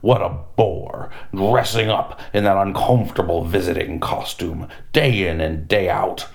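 A man voicing a grumpy, bored male penguin emperor who grumbles slowly in a storybook reading. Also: sits low, vocal fry. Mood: grumbling. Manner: grumbling, slow and weary.

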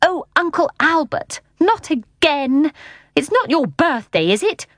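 A woman voicing a stern, vain, easily offended nanny, speaking in a crisp, haughty, scolding manner in a storybook. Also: mid-range voice, very animated, brisk, no echo, studio quality. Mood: cross.

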